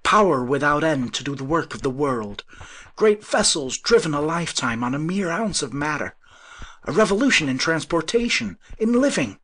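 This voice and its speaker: A man's voice: medium pitch, moderate pace, fairly bright tone, breathy edge. A man voicing a young idealistic inventor in a storybook reading, impassioned and breathless with emotion. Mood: awed excitement. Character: young idealistic male inventor, exultant and fervent.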